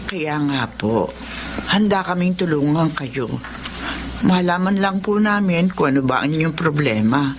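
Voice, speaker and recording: An actress with a Filipino accent playing a middle-aged, worried housekeeper in a radio drama, respectful and hesitant. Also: female voice, low voice, expressive, unhurried, noisy background. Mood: concerned, eager to help. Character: deferential, worried housekeeper, mother of a grown daughter.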